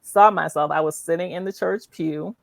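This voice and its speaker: A middle-aged woman hosting a conversational interview in an animated, encouraging and emphatic way. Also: on the low side, normal speed, vocal fry, slight background noise. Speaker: show host.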